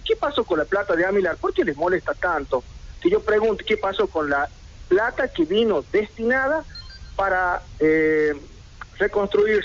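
Indignant Argentine man firing off questions. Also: high voice. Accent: Argentine Spanish. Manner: indignant, rapid-fire rhetorical questions. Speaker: merchant speaking to local radio. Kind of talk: impassioned protest statement. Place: indoors, seated in red armchair. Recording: lo-fi radio broadcast audio.

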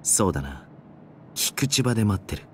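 A man's voice: fairly low pitch, big pitch swings, moderate pace, slight background noise. A man voicing a flippant, cynical young assassin in a Japanese video game, with a slangy, teasing drawl. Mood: casual, evasive.

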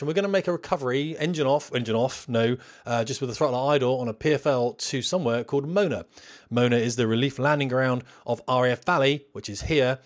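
A British man in his forties, a flying instructor, giving an informal, chatty lecture with frequent rhetorical questions. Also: mid-range voice. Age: 40s. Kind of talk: informal instructional lecture over a drawn map.

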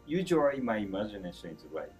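A man in his fifties from Japan with a Japanese accent, answering interview questions in broken English with chuckles and lively gestures. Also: on the low side, quick pace, faint music behind. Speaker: chef being interviewed. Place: indoor store event, seated before a branded backdrop.

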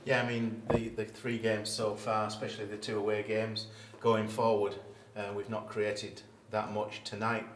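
A man's voice: lowish voice, monotone, moderate pace. A male interviewer asking a football manager brief, conversational questions after a match. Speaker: club media interviewer. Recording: echoey room with faint hiss.

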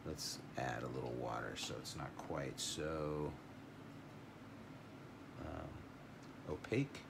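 A middle-aged man teaching a watercolor lesson live, thinking aloud in an unhurried way as he paints at a table. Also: rumbling low, gravelly, noisy background. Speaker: art teacher.

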